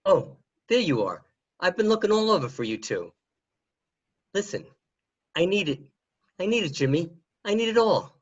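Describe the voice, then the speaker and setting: A man's voice: high voice, sing-song, moderate pace, pauses often, husky, exaggerated voice. A man playing a desperate, pestering hanger-on in a crime radio play, pleading and insistent, on a lo-fi home recording. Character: desperate, pestering man demanding his share of money.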